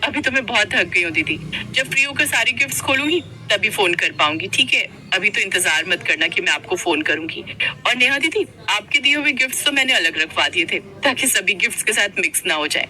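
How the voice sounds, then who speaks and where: Haughty young wife, acted by a woman, very animated. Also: mid-range voice, a little fast, low-quality recording. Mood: curt, tired. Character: haughty young wife, playing favourites among in-laws. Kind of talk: cartoon story character voice.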